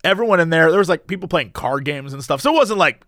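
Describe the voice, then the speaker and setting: A man co-hosting a casual comedic podcast, rambling along in a playful, animated way. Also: a little high, fast talker, no room sound, clean studio sound. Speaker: podcast co-host.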